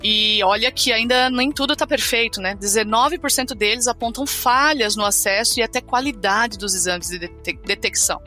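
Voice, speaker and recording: A woman's voice: fairly high pitch, normal speed, few pauses, pushed and bright, faint music behind. A woman co-hosting a health podcast, chatty and upbeat, often tagging her remarks with a questioning aside. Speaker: podcast co-host. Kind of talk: casual health podcast chat.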